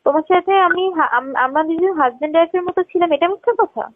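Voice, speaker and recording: A woman's voice: very high-pitched, quick pace, dry recording. A woman in a confrontational phone conversation, speaking insistently and pressing with repeated questions. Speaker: caller. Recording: tinny narrowband phone call recording.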